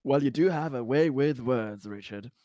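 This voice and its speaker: A man playing a tabletop roleplaying game, chatting casually in and out of character with a halting, word-repeating delivery. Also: mid-range voice, warm tone, no room sound, studio quality. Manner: halting, stammering, repeating words.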